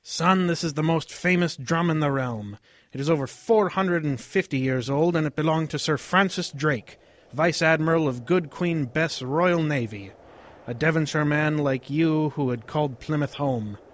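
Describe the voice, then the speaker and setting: A man's voice: a little high, normal speed, lightly acted. A man playing an earnest English father, proud of history, lecturing in a radio drama. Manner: lecturing, storytelling.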